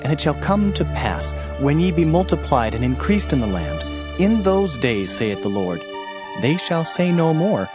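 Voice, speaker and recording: A man reads scripture as a dramatic narrator, with a solemn and declamatory delivery. His medium-pitched voice rises and falls widely. The audio is a little dull, with music clearly behind it. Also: very animated, normal speed.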